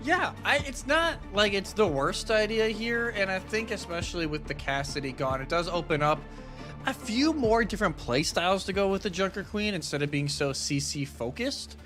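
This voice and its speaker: Guy commentating esports, highish bright voice, explaining things casually, music in the back. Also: animated, normal speed. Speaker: esports shoutcaster, analyst. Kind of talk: live esports commentary, conversational analysis. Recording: music behind the voice.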